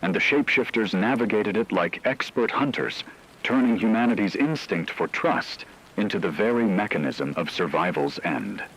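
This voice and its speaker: A man reading scripted apocalyptic horror narration in a measured, solemn, ominous way. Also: fairly low pitch, expressive, creaky, a bit bright, breathy edge, low-quality recording.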